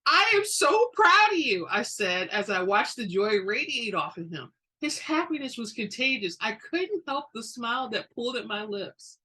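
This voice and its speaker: Bright-voiced woman reading with dramatic flair. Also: mid-range voice, moderate pace, hardly any pauses, husky. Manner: expressive, theatrical delivery. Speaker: book reader and channel host. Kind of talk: dramatic audiobook narration.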